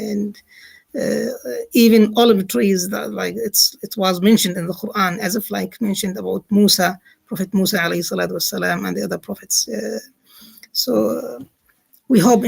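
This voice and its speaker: A man's voice: high-pitched, gravelly, slightly rough. A man interviewing a guest, speaking hesitantly with many fillers, restarts and Arabic religious phrases. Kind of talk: casual interview.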